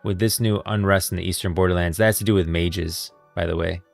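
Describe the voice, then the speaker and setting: A man doing live stream commentary on a game's story, speculating excitedly and addressing his viewers. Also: low-pitched, fairly quick, vocal fry. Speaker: streamer and game lore commentator. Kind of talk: live stream commentary reacting to game story, reading dialogue aloud. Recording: background music under voice. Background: faint music.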